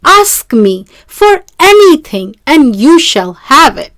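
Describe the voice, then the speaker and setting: A woman's voice: high voice, very animated, slow, fairly bright tone, no room sound, clean studio sound, voice pushed up, full character voice. A woman voicing a proud, selfish king from a folktale in an imperious, exclamatory manner. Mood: grandly generous.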